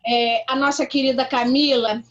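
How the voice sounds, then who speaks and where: A woman's voice: sits high, steady tone, moderate pace, a bit bright, dull audio. A woman on a video call, giving effusive thanks and blessings. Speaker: meeting participant. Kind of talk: casual video-call talk.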